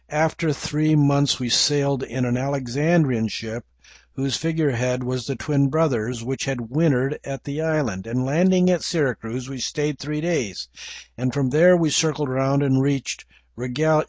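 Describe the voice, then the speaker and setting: Older english guy preaching, calm and measured, lots of little pauses, dry close sound. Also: mid-range voice, no room sound. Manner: measured, reflective, with frequent pauses and fillers. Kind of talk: Bible teaching sermon.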